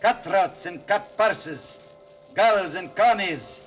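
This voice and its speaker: The ghost of an old pirate captain, greedy and menacing. He taunts in a slow, high voice with theatrical, archaic seafaring phrases. It is a muffled old radio drama recording with loud music. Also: animated, heavily acted. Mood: menacing, taunting. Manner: slow, theatrical, archaic sea-rogue talk. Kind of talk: 1940s radio acting.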